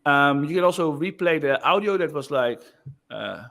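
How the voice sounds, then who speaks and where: A man giving a casual screen-share demo of software, loose and chatty with frequent fillers. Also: fairly high pitch, lively intonation, normal speed, creaky. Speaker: software developer presenting.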